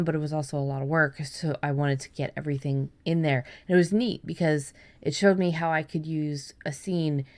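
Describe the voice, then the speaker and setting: A woman hosting a writing podcast in casual chat, talking in quick bursts with many restarts and fillers. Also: sits low, darkish tone. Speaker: podcast host.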